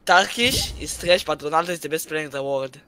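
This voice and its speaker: A man's voice: on the high side, sharp tone, a little hiss or hum. Insistent man on voice chat, broken English. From Greece. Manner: insistent, repeats himself, halting broken English. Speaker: online player talking to a streamer. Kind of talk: casual voice chat.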